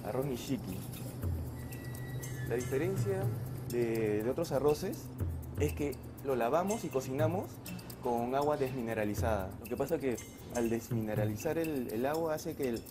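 A man's voice: medium pitch, expressive, over music. A man in his forties, a chef, explaining his dishes in a restaurant kitchen with frequent restarts and fillers. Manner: halting, many restarts and fillers. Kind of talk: casual kitchen interview. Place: restaurant kitchen and sushi bar.

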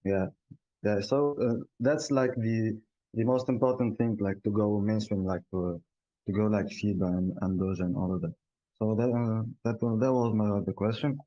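A man's voice: fairly low pitch, steady tone, normal speed, dry recording, highs cut off. A man from Tunisia whose first language is Arabic, asking a rambling, friendly question in a casual voice chat. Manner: friendly and rambling, with many fillers. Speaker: audience member asking a question.

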